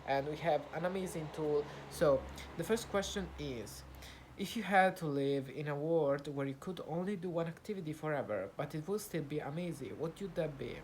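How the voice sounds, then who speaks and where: A young Italian man talking to camera in English, his second language, with an Italian accent, pausing often with fillers and restarts as he searches for words. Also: fairly high pitch, darkish tone, a little hiss or hum, poor audio. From Italy. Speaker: vlogger. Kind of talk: casual talk to camera, practising English.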